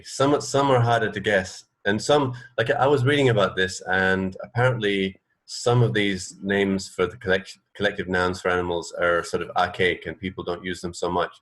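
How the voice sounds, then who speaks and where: A man's voice: low-pitched, steady tone, moderate pace, fairly bright tone. A man co-hosting a radio show in casual chat, explaining things in a rambling, hesitant way.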